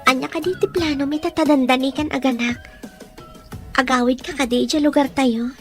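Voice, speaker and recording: A woman's voice: sits high, steady tone, normal speed, over loud music, natural voice. A woman playing a troubled, devout young expectant mother in an audio drama. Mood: worried.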